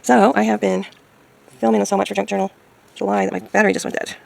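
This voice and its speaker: A woman chatting casually as she crafts, thinking aloud hesitantly in a hoarse, gravelly voice. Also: medium pitch, level tone, quick pace, darkish tone. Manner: hesitant, musing to self. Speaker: craft video maker.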